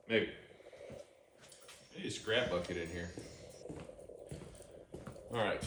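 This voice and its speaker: A man, hosting a casual workshop vlog and chatting while he works on an engine in an outdoor garage. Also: on the low side. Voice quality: loud voice. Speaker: channel host. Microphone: camera microphone, no external mic. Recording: roomy, noisy workshop ambience.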